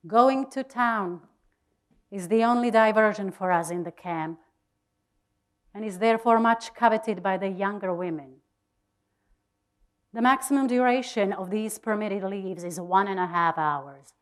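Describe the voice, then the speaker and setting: A woman giving a dramatic reading of a diary, deliberate and expressive, with pauses. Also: medium pitch. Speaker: reader of a diary.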